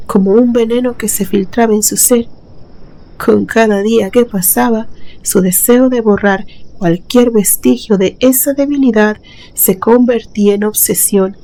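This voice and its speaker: A woman's voice: mid-range voice, dark tone, rough recording. A woman reads a scripted horror story in a dramatic, suspenseful storytelling manner. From Mexico. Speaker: narrator, channel host.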